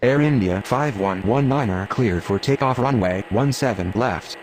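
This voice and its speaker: A man voicing a steady, official airport radio operator in a game, using clipped phraseology. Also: lowish voice, moderate pace, a little hiss or hum, lightly acted.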